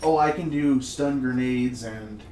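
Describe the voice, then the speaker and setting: A man's voice: mid-range voice, a little slow, mellow. A man playing a tabletop roleplaying game, politely and eagerly offering to help. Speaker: player. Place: home dining room at a table. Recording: roomy, distant, background noise.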